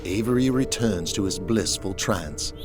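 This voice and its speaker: A man's voice: deep voice, unhurried. A man narrating a scripted audio drama, reading out the action as a storyteller. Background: music.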